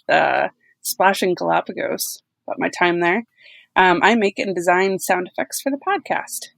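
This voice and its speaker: A woman's voice: on the low side, creaky, no room sound. A woman who designs sound effects for a podcast, chatting playfully and laughing easily. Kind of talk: casual chat. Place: apartment.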